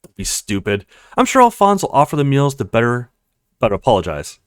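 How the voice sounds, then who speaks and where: A man's voice: medium pitch, wildly swooping pitch, brisk, smooth, no room sound, studio quality. A man voicing an imperious, curt rich young woman in a read-aloud of a video game. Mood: commanding.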